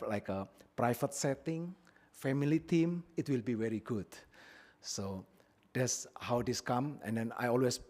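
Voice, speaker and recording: A man originally from Indonesia presenting his business plan at a public hearing, speaking plainly with frequent pauses and fillers into a podium microphone in a council chamber. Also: medium pitch, darkish tone, slightly rough.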